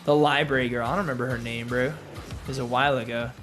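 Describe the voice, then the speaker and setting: A man's voice: mid-range voice, fairly even intonation, quick pace, fairly bright tone, faint music behind. A young man streaming live, chatting casually with his viewers and reading their messages aloud, in a laid-back, filler-heavy way. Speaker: gaming streamer.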